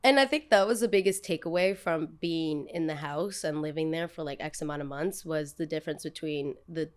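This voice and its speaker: A young woman with a New Jersey accent hosting a podcast, telling stories in an animated, emphatic way with quick asides. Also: low-pitched, clear, resonant tone. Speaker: podcast host. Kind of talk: casual chat.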